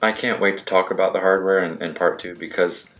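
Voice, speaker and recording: A man's voice: deep voice, fairly even intonation, fairly quick, a bit bright. A man co-hosting a podcast, giving his opinions in casual conversation about games. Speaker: podcast co-host. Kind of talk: casual chat, video game discussion.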